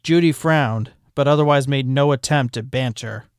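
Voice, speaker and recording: A man narrating a fan fiction story with expressive storytelling. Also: medium pitch, moderate pace, clear, resonant tone, no room sound, studio quality. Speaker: fanfic reader. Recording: music bed behind voice.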